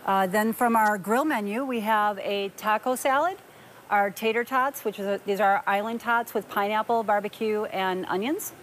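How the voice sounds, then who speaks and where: A woman's voice: medium pitch, moderate pace, a little hiss or hum. A middle-aged woman who owns a bowling alley, answering interview questions in a matter-of-fact way inside the alley. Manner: matter-of-fact, listing items.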